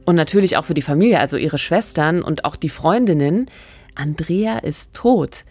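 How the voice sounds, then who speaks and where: A middle-aged woman co-hosting a true-crime podcast, reflective and measured at a studio microphone. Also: deep voice, animated, a little mellow, dry recording. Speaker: podcast co-host. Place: radio studio. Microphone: studio microphone, headphones.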